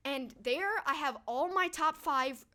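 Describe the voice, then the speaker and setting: A woman's voice: high voice, a little slow, bright, forceful tone. A young woman hosting a livestream, chatting upbeat to her viewers and thanking them one by one, her voice a little stuffed up. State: a bit stuffed up. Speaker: livestream host. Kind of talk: casual livestream wrap-up talking to chat.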